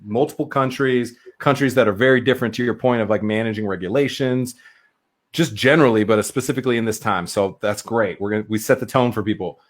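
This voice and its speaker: A man's voice: fairly low pitch, fast talker. A man hosting a casual interview podcast, upbeat and enthusiastic as he greets his guest and asks questions. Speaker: podcast host, chef. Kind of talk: casual interview over video call.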